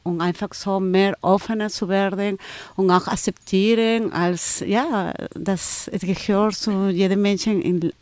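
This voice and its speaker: Woman speaking halting German. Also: medium pitch, steady tone, a little mellow, no room sound. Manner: halting non-native German with many fillers and restarts. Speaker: photographer and event organizer. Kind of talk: interview answers.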